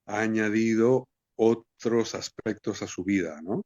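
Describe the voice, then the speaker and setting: Slow, reflective Cuban man on a call. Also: lowish voice, darkish tone, no echo. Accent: Cuban Spanish. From Cuba. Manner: slow and reflective, with many restarts. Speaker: guest poet. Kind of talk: casual interview answers. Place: home in Barcelona, video call. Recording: dull lo-fi call audio.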